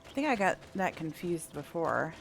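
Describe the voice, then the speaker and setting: A woman's voice: low voice, sing-song, moderate pace, vocal fry, poor audio. A woman giving casual, wry commentary as she plays a video game, thinking aloud. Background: faint game music.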